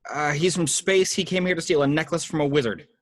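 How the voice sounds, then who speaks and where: A man playing a quippy, cocky middle-aged superhero in an amateur table read, glib and brisk. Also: fairly high pitch, a bit bright. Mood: brisk command.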